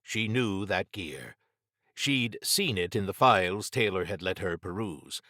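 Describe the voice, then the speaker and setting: A man's voice: low-pitched, lively intonation, unhurried, pushed and bright, dry recording, studio quality. A man narrating a scripted horror adventure as an audiobook reader.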